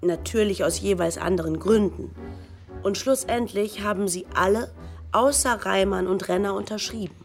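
A woman's voice: medium pitch, moderate pace, faint music behind. A woman narrating a scripted documentary in dialogue with a co-narrator.

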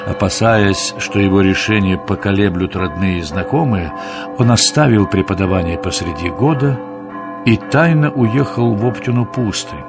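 A man's voice: on the low side, moderate pace. A man narrating a radio play in a scripted storytelling style. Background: music under the voice.

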